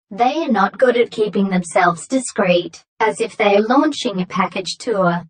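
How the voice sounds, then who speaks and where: A woman playing a stern young female courier in a story, speaking in formal, matter-of-fact, measured statements. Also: medium pitch, rough recording. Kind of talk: scripted story dialogue.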